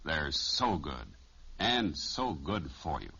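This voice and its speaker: American male announcer, low voice, animated swings, old-time radio advertisement. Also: slow, creaky. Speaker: commercial announcer. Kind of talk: 1950s radio advertising pitch. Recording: muffled vintage radio recording.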